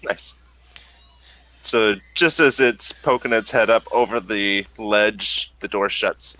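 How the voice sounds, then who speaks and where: A man narrating as game master of a tabletop game, describing scenes in halting bursts with many fillers. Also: on the low side, fairly even intonation, bright, forceful tone.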